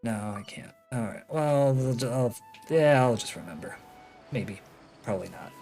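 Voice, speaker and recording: A man's voice: medium pitch, animated, normal speed, darkish tone. A man giving casual live commentary as he streams a video game. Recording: game music clearly behind voice. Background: video game music.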